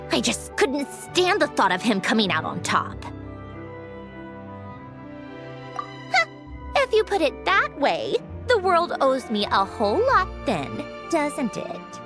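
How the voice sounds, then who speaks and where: Proud puppet inventor, dry and haughty, fairly high voice, expressive lilt, game cutscene. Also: female voice, a little slow, over music. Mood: bitter. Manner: dry, cool, slightly haughty explaining. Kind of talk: video game cutscene dialogue.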